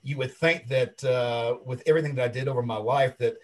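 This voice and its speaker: A man in his late fifties with a husky voice, chatting casually and talkatively as an interview guest, self-assured and full of anecdotes. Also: medium pitch, steady tone, brisk, a little hiss or hum. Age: late 50s. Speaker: interview guest, wrestling commentator and video creator.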